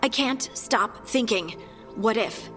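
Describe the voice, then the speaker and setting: A woman's voice: fairly high pitch, slow, a bit bright, big-room sound, faint music behind. An actress playing an anxious, earnest young woman companion in a video game, hesitant and emotional in delivery. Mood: anxious, shaken. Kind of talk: dramatic video game acting.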